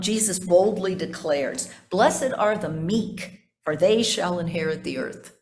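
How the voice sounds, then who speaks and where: A woman pastor preaching a sermon in measured, deliberate phrases, with repetition and conversational asides to the congregation. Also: medium pitch.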